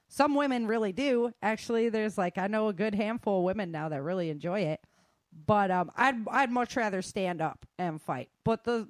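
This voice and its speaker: A middle-aged woman speaks informally, as if coaching people she knows. Her voice sits at a medium pitch, and she is candid and colloquial, slipping in joking asides. The sound is dry, with very little room. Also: no room sound. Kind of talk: informal talk to an audience.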